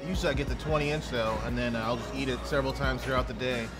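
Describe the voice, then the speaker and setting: A man, a regular customer, chatting in a relaxed way in an interview. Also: medium pitch, racing. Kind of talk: casual interview. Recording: music bed underneath.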